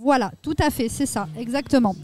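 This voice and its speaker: A woman's voice: fairly high pitch, a little fast, a little mellow. A woman representing her association in a radio interview at a fair, speaking hesitantly with many fillers.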